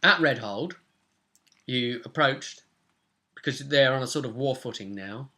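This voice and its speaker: A man's voice: medium pitch, a little slow, bright, forceful tone. A young man recapping a tabletop role-playing session as game master in casual chat. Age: young adult. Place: small room at home, indoors.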